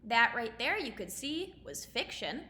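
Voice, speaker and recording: A woman's voice: on the high side, big pitch swings, unhurried, loud music behind the voice. A woman speaking as an elementary teacher, explaining and reading aloud in simple, instructive phrases for children. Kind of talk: explanatory lesson and storybook read-aloud. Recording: roomy echo, faint background noise.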